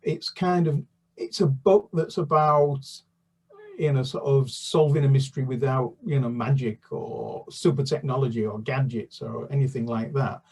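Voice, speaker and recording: A man's voice: mid-range voice, moderate pace, a little mellow, slightly rough, distant mic. An older man from the UK, a children's author, chatting casually in an interview with many fillers and restarts.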